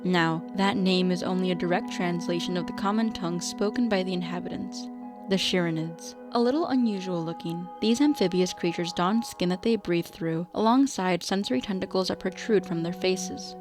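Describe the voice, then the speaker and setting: A woman narrating a scripted radio drama in a measured storytelling delivery. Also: lowish voice, over music.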